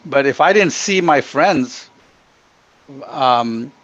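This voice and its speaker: A middle-aged man from Ghana giving earnest, opinionated interview answers, emphatic and halting as he restarts his sentences. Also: mid-range voice, expressive, fairly bright tone. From Ghana, grown up in Africa and the Middle East. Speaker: podcast guest. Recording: dull, muffled lo-fi connection.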